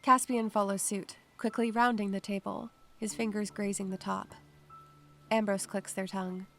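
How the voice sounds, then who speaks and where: A woman playing an anxious, observant first-person narrator in a scripted audio drama, measured and literary. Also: medium pitch, level tone, a bit bright, soft background music, natural voice. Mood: tense, hushed.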